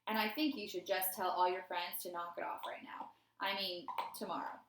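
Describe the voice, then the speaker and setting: Mild storybook dad done by a woman reader: hesitant, halting delivery, echoey room recording. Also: fairly low pitch, fairly even intonation, a bit bright, slight background noise. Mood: mild reproof. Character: hesitant, mild schoolboy's father. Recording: roomy, echoey indoor sound.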